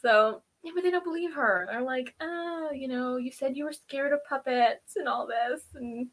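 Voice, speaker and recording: A woman with a somewhat high-pitched voice talks casually through a TV episode recap. She retells things in an informal, animated way, like a co-host chatting on a review show. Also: moderate pace.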